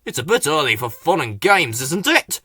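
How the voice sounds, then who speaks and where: Man voicing a scolding children's storybook character, cross, chiding, jumpy animated pitch. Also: normal speed, breathy edge, dry recording, clean studio sound, exaggerated voice.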